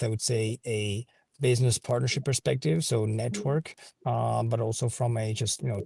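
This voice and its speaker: A man from Switzerland speaks in an even, unhurried line with a gravelly edge to his voice. His tone is mellow and dark, and his points come carefully qualified. The sound is dry and close to the mic. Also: mid-range voice, no room sound. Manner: measured, often hedging with "I would say". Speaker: business partner on a panel. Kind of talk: live Q&A panel talk.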